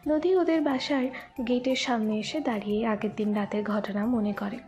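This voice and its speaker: A woman's voice: a little high, steady tone, normal speed, a little mellow, dull audio. A woman narrating a scripted romantic audio story in the third person.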